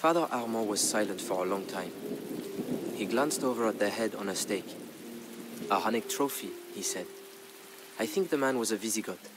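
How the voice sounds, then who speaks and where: A middle-aged man giving a scripted, dramatic historical narration in a solemn, measured storytelling manner. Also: lowish voice, background noise. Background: background music.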